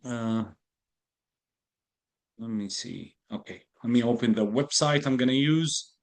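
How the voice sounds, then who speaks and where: A man teaches an English class over a video call with his camera switched off. He talks patiently into a computer mic, saying key phrases twice and explaining idioms as he goes. His voice sits at a middle pitch. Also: measured pace. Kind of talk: online lesson, explaining slowly.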